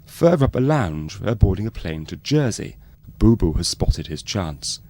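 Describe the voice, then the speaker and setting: A man's voice: deep voice, big pitch swings, moderate pace, darkish tone. A man delivering scripted docu-soap narration.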